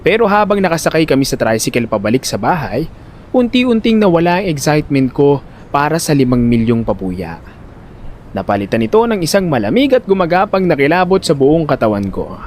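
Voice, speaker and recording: A man's voice: a little high, darkish tone, a little hiss or hum. A man with a Filipino accent playing a panicky, talkative older brother who narrates his own story in an audio drama and gets easily flustered and exasperated. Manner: flustered, stammering when pressed, exasperated.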